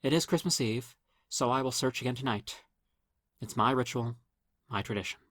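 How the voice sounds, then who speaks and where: A man reading a scripted first-person horror story as narrator, in a dramatic, measured storytelling manner. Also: medium pitch, fairly bright tone, dry recording, studio quality.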